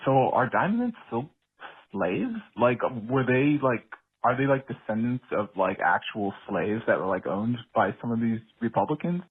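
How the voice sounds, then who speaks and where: A man leaving a casual voicemail with a deadpan, joking manner. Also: mid-range voice, normal speed, breathy edge. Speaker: voicemail caller. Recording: tinny phone voicemail.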